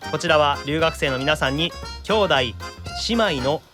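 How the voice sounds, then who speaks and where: A male podcast host with a Kansai Japanese accent, chatting and making announcements in an animated, reactive radio style. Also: on the high side, unhurried, over music. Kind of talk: casual radio banter and announcements.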